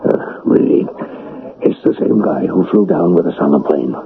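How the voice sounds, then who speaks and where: An actor in a radio drama playing an older, protective husband, homely and hesitant, given to mild scolding. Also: male voice, low-pitched, moderate pace, vocal fry, phone-quality sound. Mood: firm, protective. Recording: thin, noisy vintage radio recording.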